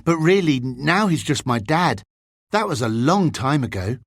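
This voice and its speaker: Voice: medium pitch, lively intonation, a little slow, no room sound, studio quality, voice pushed up. A narrator voicing an easygoing, modest young English boy wizard in an audiobook, casual and a little bemused. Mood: friendly, modest.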